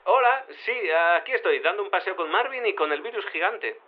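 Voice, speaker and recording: Over a narrow phone line comes a bumbling, chatty male detective in an audio drama. His rather high voice swings up and down as he rambles hesitantly. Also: animated, brisk. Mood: sheepish, cheerful. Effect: telephone filter.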